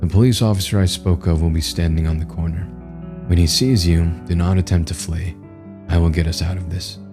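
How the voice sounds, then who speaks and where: A man voicing a calm, formal, contemptuous male entity that possesses a human body. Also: sits low, a little fast, music in the background, natural voice. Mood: cold commanding.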